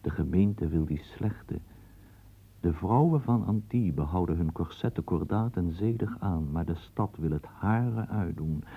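A man's voice: sits low, a little slow, mellow, breathy edge. A man narrating a radio play and guiding the listener through the scene like a storyteller. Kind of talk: scripted radio drama narration. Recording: tinny lo-fi old radio recording.

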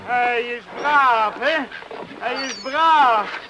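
An actor playing an eager, brave young island lad in an exclamatory, urging radio drama style. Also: male voice, very high voice, drawn-out words, full character voice. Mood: urgent, excited. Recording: noisy radio drama recording with outdoor effects.

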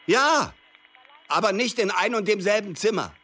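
An actor playing an earnest, protective man in radio drama dialogue, speaking in a halting way. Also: high-pitched, animated, background noise. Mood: careful, earnest.

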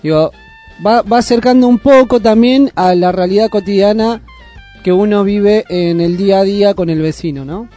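Male radio co-host, Argentine accent, high-pitched voice, casual studio chat over faint music. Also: moderate pace, mellow. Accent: Argentine, Buenos Aires area.

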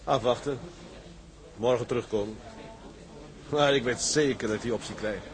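An actor playing an ambitious, proud tugboat captain in a period drama, firm and businesslike. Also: male voice, medium pitch, normal speed, crowd noise, lightly acted, poor audio. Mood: confident. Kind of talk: acted period drama dialogue.

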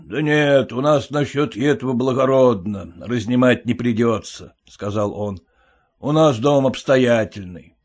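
A man's voice: mid-range voice, unhurried, lightly acted. A man voicing a stingy, domineering merchant who speaks bluntly and scolds his servants. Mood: gruff bossy. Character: gruff stingy old merchant, bossy.

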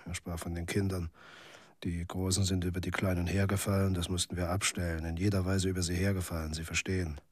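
Radio drama lead: world-weary cynical reporter, low-voiced, laconic first-person narration, very dry close studio sound. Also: male voice, little pitch movement, fairly quick, no room sound. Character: weary, cynical male journalist in a bleak near-future city. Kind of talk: scripted radio drama monologue.

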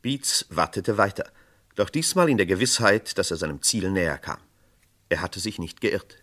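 A man narrating a vintage radio crime drama in a measured, matter-of-fact way. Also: low-pitched, animated, a bit bright.

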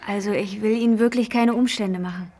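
A woman's voice: mid-range voice, fairly even intonation, brisk, a little mellow, lightly acted. An actress playing a young mother, polite and resolute under worry, in a naturalistic radio drama. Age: young adult.

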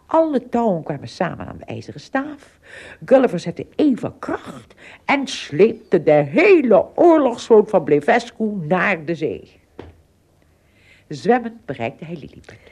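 A woman with a husky voice narrating an adventure radio play as scripted storybook narration. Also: mid-range voice, very animated, measured pace, warm tone.

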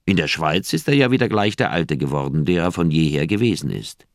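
An uneasy older doctor in a radio crime drama. His low voice swings expressively through the phrases, with a creaky edge. He tells things deliberately and reflectively, in a dry, close recording. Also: lively intonation, no echo. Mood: pensive recounting. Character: troubled older male Swiss surgeon, reflective and uneasy.

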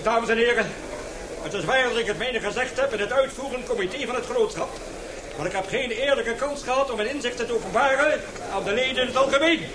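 A man's voice: extremely high pitch, quick pace, noisy background. A man acting an indignant, stubborn old professor in a radio play, agitated and declamatory. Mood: indignant, insistent. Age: older.

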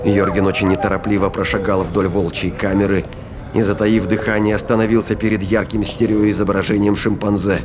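A man's voice: on the low side, little pitch movement, brisk, noisy background. A man narrating a science fiction thriller audio drama in a scripted, dramatic storytelling style. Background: prominent music and sound effects.

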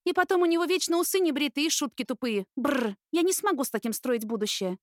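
A woman voicing a bubbly, stubborn young student, a younger sister with excitable, girlish chatter, in an audiobook. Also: high-pitched, quick pace, dry recording, studio quality, voice pushed up. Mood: stubborn, dismissive. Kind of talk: audiobook character acting.

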